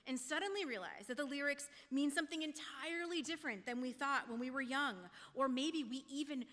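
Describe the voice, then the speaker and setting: Bright-voiced woman preaching in an echoing hall. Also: high voice, fairly quick. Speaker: lay speaker giving a sermon. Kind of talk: reflective prepared sermon. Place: church sanctuary, speaking to congregation. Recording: echoey church hall.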